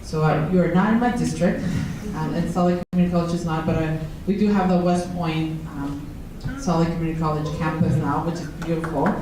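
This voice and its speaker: A woman's voice: sits low, fairly even intonation, fairly quick, hardly any pauses, crowd noise. A woman, introducing herself on a panel in a candid, self-deprecating way. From Mexico. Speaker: state senator panelist. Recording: echoey hall, far from mic, noisy.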